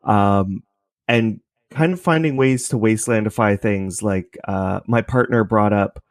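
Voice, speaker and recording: A man's voice: fairly low pitch, a little slow, no room sound. A man chatting as an interview guest, explaining his creative work in a loose, thinking-aloud way. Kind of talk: casual interview chat, explaining.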